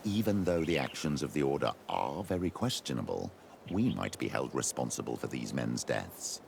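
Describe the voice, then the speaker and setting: A man playing a grave, courteous clergyman in a video game, speaking formally and with measured deference. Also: expressive, darkish tone, a little hiss or hum, heavily acted. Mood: grave, discreet. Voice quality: very deep, gravelly. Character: grave, courteous old clergyman of an inquisitorial church. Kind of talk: video game cutscene acting.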